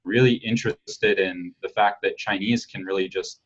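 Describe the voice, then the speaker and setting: Deep husky man, rambling podcast chat. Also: fairly even intonation, normal speed. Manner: rambling, filler-heavy, frank with casual swearing. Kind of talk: casual podcast conversation. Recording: dull lo-fi sound.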